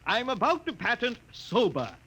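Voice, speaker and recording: Boastful husband, swooping and forceful, declaiming, vintage radio drama. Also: male voice, very high voice, huge pitch swings, normal speed, breathy edge, exaggerated voice. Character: boastful husband bragging as an amateur inventor. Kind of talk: 1950s radio drama acting.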